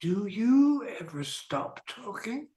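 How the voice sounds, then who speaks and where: A man's voice: sits high, big pitch swings, takes it slowly, warm tone, full character voice. An older man playing a vain, self-pitying rich young man in a radio play, with a pompous delivery. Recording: echoey, roomy sound.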